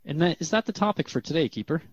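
A man's voice: a little high, expressive, fast talker, no echo, dull audio. A man playing an earnest, inquisitive radio host in a scripted talk-show drama, speaking conversationally. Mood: curious. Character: earnest, curious male radio host questioning a spirit guest.

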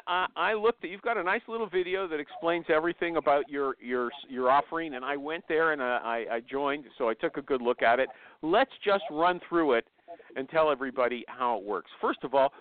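A man hosting an informal live interview, blunt and quick to interrupt and steer his guest, heard over a narrow phone connection. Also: fairly high pitch, dry recording. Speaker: host interviewing a guest on a live online show. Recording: narrow, thin phone-line sound.

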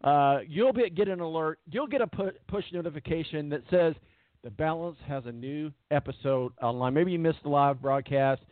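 A man hosting a sports radio show in casual chat, rambling with fillers and repeated words, with a voice that is going hoarse. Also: a little high, moderate pace, no echo. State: losing his voice. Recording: tinny phone-line quality, dry.

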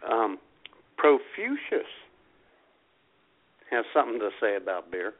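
A man's voice: lowish voice, sing-song, slow. A man co-hosting a talk show, telling casual comic stories and acting out exchanges with customers. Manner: acts out customer exchanges as he talks. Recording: thin phone-like lo-fi sound.

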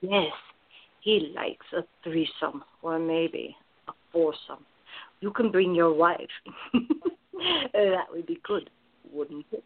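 A woman playing a bold, tough woman in biker disguise with a husky voice in a radio play. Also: lowish voice, takes it slowly, phone-quality sound, heavily acted. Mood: taunting, seductive.

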